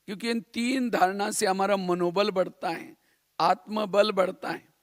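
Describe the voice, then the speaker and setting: A man's voice: high-pitched, fairly even intonation, measured pace, no room sound, clean studio sound. A man from India giving a spiritual class in a didactic way, repeating phrases and posing rhetorical questions. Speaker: spiritual teacher.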